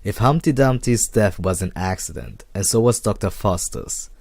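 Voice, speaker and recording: A man's voice: lowish voice, moderate pace, darkish tone, dry recording. A man playing a world-weary, wisecracking private detective from a noir story, talking in a terse, dry and cynical way.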